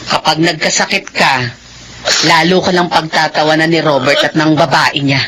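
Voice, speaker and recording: A woman's voice: deep voice, steady tone, moderate pace, a bit bright. An actress with a Filipino accent playing an older, protective mother and grandmother, firm and caring, in a melodrama. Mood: pleading, firm. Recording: clear background noise and ambience.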